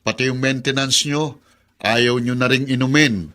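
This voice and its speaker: A man's voice: medium pitch, steady tone, a little slow, sharp tone. A man playing an exasperated, nagging grown son in a radio drama, insistent and complaining. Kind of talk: Filipino radio drama acting.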